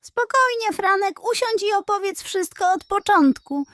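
A woman voicing a calm, indulgent male pig detective in a children's bedtime story, unruffled and reassuring. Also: very high voice, unhurried, no echo, clean studio sound, voice pushed up, full character voice.

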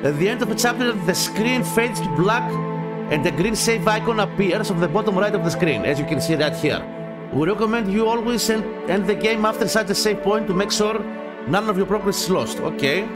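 High-voiced Greek-accented man doing a let's play. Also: expressive. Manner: halting reading, often repeating phrases, swearing in asides. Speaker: gaming channel host. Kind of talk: casual let's play, reading game text aloud with asides. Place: small dim home gaming room. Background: game music.

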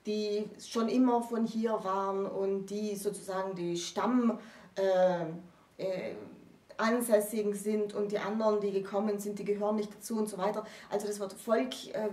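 A woman's voice: medium pitch, fairly even intonation, normal speed, a little mellow. Woman explaining in a roomy, distant recording. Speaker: presenter of a literature explainer series. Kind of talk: explanatory literary discussion. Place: small indoor room with bookshelves.

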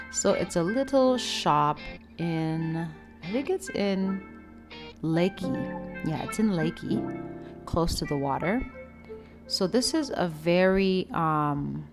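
A young woman, giving a chatty, playful and expressive casual vlog voice-over. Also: lowish voice, slow, pauses often. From Canada. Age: young adult. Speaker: vlogger, food reviewer. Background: background music.

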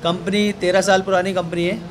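A man's voice: high voice, flat delivery, fairly quick, a crowd in the background. Upbeat salesy man at a crowded opening. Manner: upbeat, salesy, opens with a long Islamic greeting. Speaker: company representative interviewed at an office opening. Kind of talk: promotional interview answers. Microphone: handheld news mic held up close.

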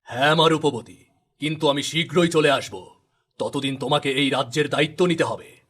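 A man voicing a grave, authoritative king and father in a storybook cartoon, with a solemn, slightly husky voice. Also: on the high side, moderate pace, a bit bright. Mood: grave, fatherly. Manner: commanding, solemn.

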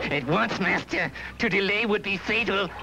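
Dim, giggly villain's henchman, high voice, grovelling old-cartoon style. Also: lively intonation, measured pace, pushed and bright, a little hiss or hum, full character voice, poor audio. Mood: gleeful. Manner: servile, giggly. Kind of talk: vintage cartoon acting.

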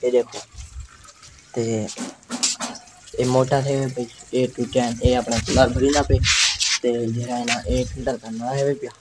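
A man's voice: medium pitch, level tone, a little slow, pauses often. Young Saraiki man, noisy outdoor recording. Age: teens to young adult. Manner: informal, repetitive running commentary. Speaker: vlogger, host. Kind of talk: casual village vlog chat. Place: outdoors in village lanes, fields and walled yard. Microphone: phone at arm's length. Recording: noisy handheld phone recording.

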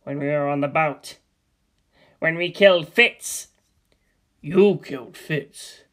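A man giving a deadpan comedic script reading, flatly reciting the stage directions and character names. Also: fairly high pitch, slow. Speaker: script reader.

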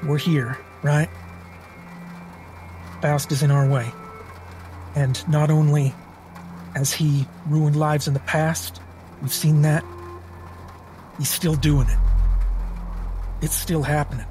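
A man's voice: fairly high pitch, little pitch movement, slow pace, pauses often, darkish tone, soft background music. A man playing a plain-spoken, determined adventurer in a tabletop game, folksy and emphatic. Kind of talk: in-character tabletop roleplay.

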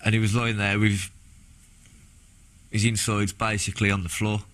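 A young British man, a former soldier, recounting his experiences in a halting, plain-spoken way in a documentary interview. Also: fairly low pitch, flat delivery, fairly bright tone. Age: young adult. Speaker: former soldier giving testimony. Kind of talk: documentary interview, personal recollection. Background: background music.

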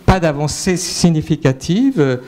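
A man's voice: on the high side, animated, moderate pace, warm tone. A middle-aged French man with a French accent giving a mathematics lecture at a conference, speaking into a handheld microphone with frequent fillers and restarts. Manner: hesitant, many fillers and restarts. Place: lecture hall with projector screen.

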